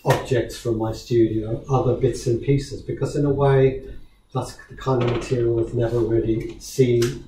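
A man's voice: medium pitch, warm tone, a little hiss or hum. A man from South Africa, an artist, talking thoughtfully and hesitantly about his work to a gallery audience, with many restarts. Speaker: artist in conversation. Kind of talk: gallery conversation. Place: small gallery room with seated audience. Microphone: far camera. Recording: echoey room from far off.

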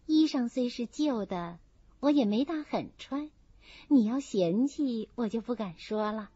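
A woman's voice: fairly high pitch, expressive, measured pace, warm tone, slightly rough, dry recording. A woman voicing a modest, friendly young maid in an audiobook, explaining things politely and in good order. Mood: kindly, orderly. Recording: dull, muffled audio.

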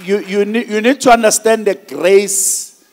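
A man's voice: high-pitched, a little slow. A middle-aged South African man preaching a sermon in an emphatic, repetitive, declamatory manner in a church hall.

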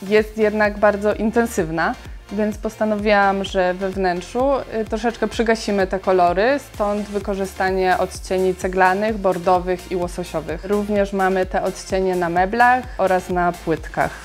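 Calm woman architect explaining her design. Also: medium pitch, moderate pace, faint music behind. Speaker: interior architect, guest.

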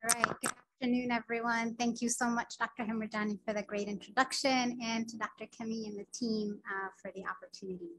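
A woman's voice: fairly high pitch, steady tone, brisk, few pauses, background noise. A woman from Sudan, Arabic her first language, giving an earnest academic lecture over a video call. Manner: earnest, personal storytelling with frequent filler words. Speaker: physician giving a grand rounds lecture.

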